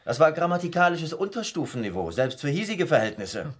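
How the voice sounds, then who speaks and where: A man's voice: a little high, big pitch swings, a little fast. An actor playing a cold, haughty male boss in a radio drama, with stilted, cutting, bureaucratic speech. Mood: cold, condescending.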